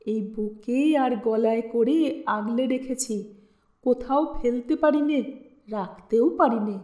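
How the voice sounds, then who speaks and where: A woman narrator as sorrowful old woman, high pitch, halting plaintive delivery, storybook reading, echoey room. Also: warm tone. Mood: anguished. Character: frail, sorrowful, self-pitying old village woman.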